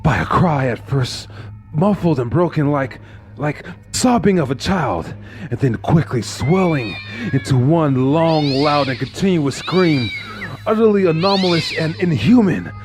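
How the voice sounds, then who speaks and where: A man's voice: on the high side, animated, measured pace. An actor playing a deranged, smug murderer who confesses his crime in formal, theatrical horror narration. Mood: frenzied terror. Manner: theatrical, formal, brooding, drops into hushed whispers. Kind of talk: dramatic horror narration of a classic gothic tale.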